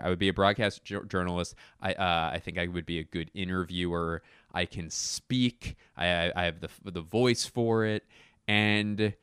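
A young man talks in a deep, smooth, resonant voice, recorded close and dry. He rambles through a casual chat with quick asides and restarts. His tone is self-mocking yet sure of himself. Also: a bit bright, dry recording.

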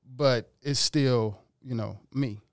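Laid-back gravelly musician, dry mic. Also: male voice, medium pitch, slow, no echo. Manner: laid-back, rambling, slangy. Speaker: musician guest. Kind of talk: casual interview talk.

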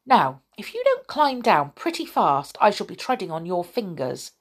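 A woman's voice: mid-range voice, very animated, normal speed, breathy edge. A woman voicing a bossy, exasperated little male fairy-folk rescuer in a children's story, emphatic and impatient.

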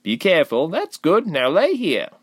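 A man's voice: high voice, huge pitch swings, normal speed, a bit bright, no echo, clean studio sound, voice pushed up, heavily acted. A man voicing a brusque, protective young village girl in an audiobook, stern yet caring. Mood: urgent, caring. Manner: stern yet empathetic, urgent. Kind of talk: audiobook character voice.

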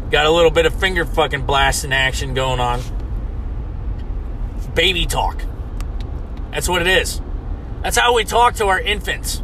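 An American man from the United States delivering a loud, mock-indignant comedic rant, swearing constantly. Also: on the high side, slight background noise, poor audio. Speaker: comedic ranter.

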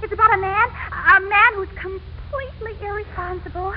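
A starry-eyed girlish romantic in an old radio comedy, with an extremely high voice. She swoons and gushes dramatically over every word. The recording is muffled, with loud noise. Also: normal speed. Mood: dreamy, gushing. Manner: breathless, swooning, dramatic gushing. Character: gushing, starry-eyed young woman infatuated with irresponsible romantic men. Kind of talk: 1940s radio sitcom acting.

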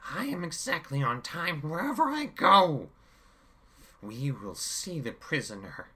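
A man voicing a cruel, mock-sweet male villain who talks slowly and theatrically. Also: fairly high pitch, big pitch swings, sharp tone, pitched above the performer's own voice, exaggerated voice. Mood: cold. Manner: sneering, slow and theatrical, bursts into screams.

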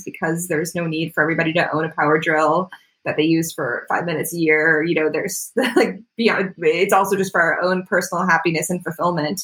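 North american woman on the lower side, chatting in an interview, kinda rambly and self-deprecating. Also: vocal fry, fairly bright tone, low-quality recording. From Canada-born, grew up in Florida, United States. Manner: rambling, self-deprecating, laughs often while talking. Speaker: interview guest, artist and climate activist. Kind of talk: casual interview conversation.

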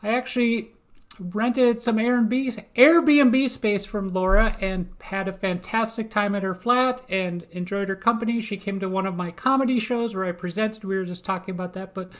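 A woman's voice: medium pitch, normal speed. A woman with an American accent from Minnesota, co-hosting a podcast in casual interview chat. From United States, Minnesota. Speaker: podcast co-host.

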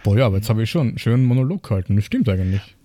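A man's voice: fairly low pitch, lively intonation, racing, dark tone, dry recording, studio quality. An Austrian man co-hosting a podcast, explaining reflectively in casual, piecemeal phrases with rhetorical questions. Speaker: podcast co-host.

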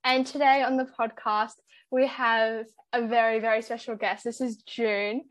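A woman's voice: a little high, flat delivery, normal speed, no echo. A woman hosting a podcast, introducing her guest and asking questions in an upbeat, enthusiastic way. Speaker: podcast host. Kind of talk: scripted-feeling podcast hosting.

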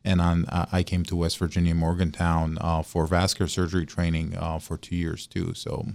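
Low, even-toned man. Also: normal speed, creaky. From Syria. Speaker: guest, vascular surgeon. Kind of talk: radio interview, explanatory talk.

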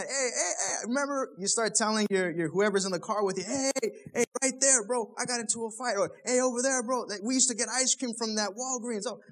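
A man's voice: high-pitched, a bit bright. A young man, a pastor, preaching a sermon in a casual, conversational way, full of rhetorical questions.

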